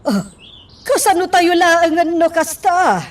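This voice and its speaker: An actress playing a worried middle-aged mother with a husky voice in a radio drama. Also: very high voice, animated, slow pace, far from the mic, heavily acted.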